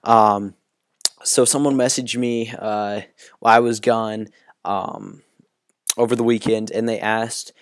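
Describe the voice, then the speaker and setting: A young man with a hoarse, partly lost voice, casually talking through a screen-recording tutorial with lots of ums and uhs. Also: on the low side, slow, pauses often, dry recording, studio quality. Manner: relaxed, full of ums and uhs. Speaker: YouTube tutorial host.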